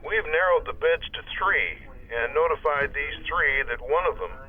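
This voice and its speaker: A man's voice: a little high, expressive, normal speed. Formal councilman on tinny phone. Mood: concerned. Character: earnest, formal city councilman reporting trouble. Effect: telephone filter. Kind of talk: radio drama acting. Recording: tinny narrow-band phone line.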